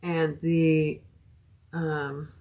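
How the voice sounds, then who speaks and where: Slow, low-voiced woman teaching sewing. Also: flat delivery, warm tone. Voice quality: soothing voice. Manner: chatty, thinks aloud while working; talks to live viewers by name. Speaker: sewing instructor. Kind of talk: live-stream sewing tutorial. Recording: audible background noise.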